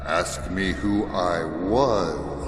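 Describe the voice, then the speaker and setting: An actor in a radio play playing the mournful, reproachful ghost of a dead businessman, with drawn-out, wailing intonation. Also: low voice. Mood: ominous. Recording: long echoing reverb with music behind.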